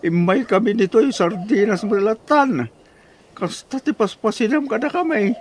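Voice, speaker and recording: A meek old father of a radio drama, with a notably high voice. He sounds aggrieved and plaintive, grumbling softly about how he is treated. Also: expressive, moderate pace, slight background noise. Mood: plaintive complaining. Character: meek, aggrieved elderly father living with his daughter's household. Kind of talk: radio-style Ilocano drama acting.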